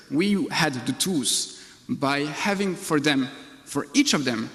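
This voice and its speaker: Man on a reverberant conference stage, fairly high-pitched. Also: fairly bright tone. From Belgium. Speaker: panel speaker on de-radicalization. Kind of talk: conference speech with personal examples. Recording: echoey conference hall, long reverb.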